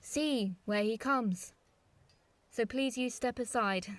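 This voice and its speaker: A woman's voice: on the high side, takes it slowly, dry recording. A woman playing an earnest, peacemaking young noble in a Shakespearean audio drama. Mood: concerned. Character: Benvolio, concerned peacemaking young noble kinsman.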